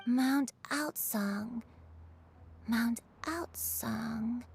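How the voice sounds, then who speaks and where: Frail old woman, slow and halting. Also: fairly high pitch, animated, slight background noise. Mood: distressed, dazed. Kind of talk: video game voice acting.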